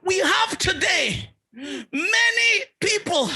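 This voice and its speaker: Male preacher, high, very animated voice, slow measured delivery, builds with repetition. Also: shouting. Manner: preaching with rising fervour, repeating phrases. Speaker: bishop, guest preacher. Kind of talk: sermon.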